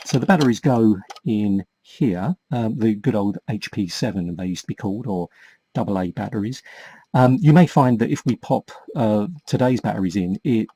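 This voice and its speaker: A man in his forties with a soft, dark tone and a noticeably husky edge. He talks on the low side, explaining a hands-on task casually and hesitantly, as in a homemade tutorial. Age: 40s. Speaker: vintage toy repairer and presenter.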